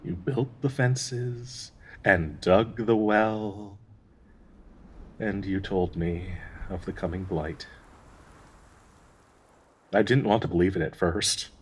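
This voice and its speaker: A man playing a gentle, grieving dryad in an intimate audio roleplay, speaking in a tender, halting, wistful way with a husky, breathy voice. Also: on the low side. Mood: tender, regretful. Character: gentle, grieving male dryad devoted to a sick companion.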